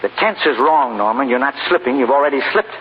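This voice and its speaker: A man's voice: medium pitch, lively intonation, fast, lightly acted. A man playing a genial but blunt film studio boss in a 1940s radio drama. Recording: dull, noisy 1940s radio recording.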